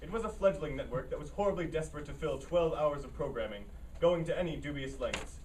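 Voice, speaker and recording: A matter-of-fact play narrator read by a man with a fairly high voice, delivering scripted stage directions. He sounds some way from the mic, with faint noise around him. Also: a little fast, darkish tone, far from the mic, close to an everyday voice, low-quality recording.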